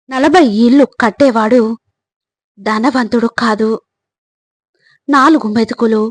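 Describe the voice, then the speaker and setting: Higher-pitched woman narrating motivational quotes, dry sound. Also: no echo. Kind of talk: scripted inspirational narration.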